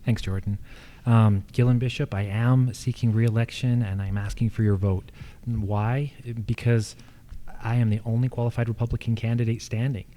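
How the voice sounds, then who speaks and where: An American man makes his case as an incumbent at a candidate forum. His voice is notably dark and mellow, with little edge to it. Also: mid-range voice, little pitch movement, moderate pace. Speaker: incumbent official seeking re-election. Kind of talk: campaign forum answers. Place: live candidate forum on a college campus.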